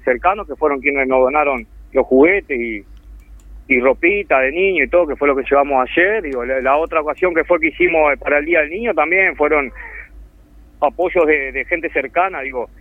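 A man's voice: a little high, animated, normal speed, no echo. A man with a Uruguayan accent talking earnestly and at length as a guest calling in to a radio interview. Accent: Uruguayan Spanish. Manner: earnest, heartfelt, rambling. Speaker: phone-in guest, charity volunteer. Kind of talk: casual radio interview over the phone. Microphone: telephone. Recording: tinny dry phone line.

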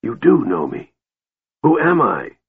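A man voicing a dying young male mage in an audiobook, bitter and desperate, with a strained, gasping voice and halting, snarling delivery. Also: lowish voice, natural voice. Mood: feverish, demanding. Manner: halting fragments, snarling demands. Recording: muffled phone-like audio with room echo.

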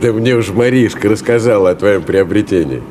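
A man's voice: on the low side, brisk, lightly acted. An actor playing a polite, good-natured man visiting from the provinces, courteous and a little hesitant, in a radio play. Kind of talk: 1970s radio play acting. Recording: noisy old radio drama, street ambience.

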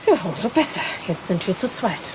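A woman playing a confident secret agent of thirty-two in a radio play, brisk and self-assured. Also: medium pitch, big pitch swings, close to an everyday voice. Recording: radio play with noisy background.

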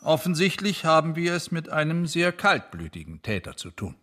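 An actor playing a determined lead police investigator in a radio drama, deliberate, factual and authoritative. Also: male voice, a little high, sing-song, a bit bright. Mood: controlled, factual.

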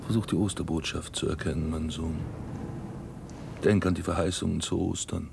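An actor playing a grave, paternal priest hearing confession in a German radio drama, hushed and admonishing. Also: male voice, sits low, steady tone, normal speed, creaky, background noise. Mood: grave, consoling.

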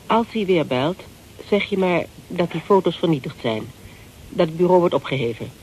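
A woman's voice: on the low side, animated, moderate pace, darkish tone, highs cut off, close to an everyday voice. Radio play actress as female detective: cool-headed, curt, clipped delivery, noisy ambience. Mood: brisk, cool. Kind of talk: Dutch radio play acting.

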